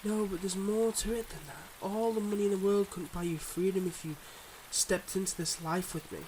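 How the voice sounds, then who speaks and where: A suave, secretive mob boss played by a man talks to his partner in an intimate ASMR roleplay. His voice sits a little high, with a smooth, confiding, teasing manner. Light rain noise runs behind him. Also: steady tone, moderate pace, darkish tone, natural voice, rough recording. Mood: earnest, guarded. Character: suave, secretive young male mob boss, devoted online boyfriend.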